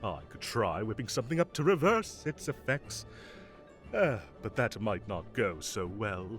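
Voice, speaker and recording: A man playing a mischievous, evasive fae king who is secretly fond, theatrical and halting when flustered, in an audio roleplay. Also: medium pitch. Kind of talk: scripted audio roleplay acting.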